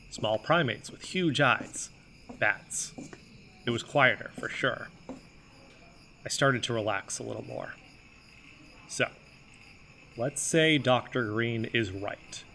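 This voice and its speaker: A man's voice: medium pitch, slow, vocal fry, pauses often, sharp tone, exaggerated voice. An actor playing an earnest, brooding amateur investigator who narrates his own conspiracy-minded story in an audio drama. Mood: musing. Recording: faint background noise.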